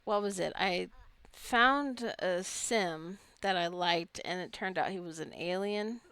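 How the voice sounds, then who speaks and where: A woman's voice: lowish voice, slow pace, a bit bright, clean tone, no room sound. A woman giving casual let's play commentary, chatty and rambling as she muses aloud. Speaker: gamer narrating own gameplay.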